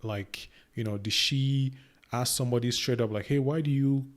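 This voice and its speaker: A young Cameroonian man with a middle-pitched voice talks through his thoughts as a reaction host. His pace is loose and rambling, and he often restarts his sentences. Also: moderate pace. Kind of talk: casual reaction commentary.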